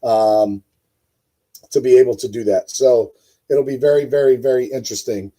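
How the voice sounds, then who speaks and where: A man with a hoarse voice hosting a casual live-stream sports show, rambling with many fillers and talking to his chat viewers. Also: mid-range voice, fairly even intonation, normal speed, warm tone. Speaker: host.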